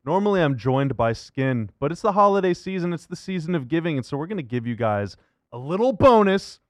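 A man's voice: fairly high pitch, very animated, brisk, no echo, studio quality. A man hosting a sports radio show, talking in a casual, conversational way about basketball. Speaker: sports radio and podcast host. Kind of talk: upbeat show intro and basketball interview.

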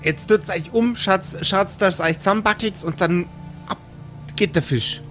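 A man's voice: high-pitched, unhurried, fairly bright tone, soft background music, voice pushed up. A man voicing a bossy, scolding country mother with a broad Bavarian-Austrian dialect in an improvised audio play. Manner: admonishing, folksy.

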